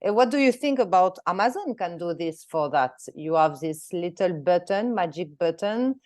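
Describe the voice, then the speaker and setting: A woman's voice: fairly low pitch, expressive, moderate pace, darkish tone, no echo, clean studio sound. A woman hosting a webinar, asking her guest questions and giving examples over a video call. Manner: asks questions, gives examples. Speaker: webinar host. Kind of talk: interviewing a guest.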